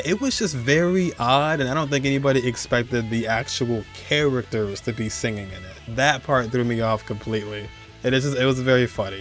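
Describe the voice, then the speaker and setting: A man in his twenties giving casual, rambling commentary as he hosts a video countdown. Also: medium pitch. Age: 20s. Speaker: video host. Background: music under the voice.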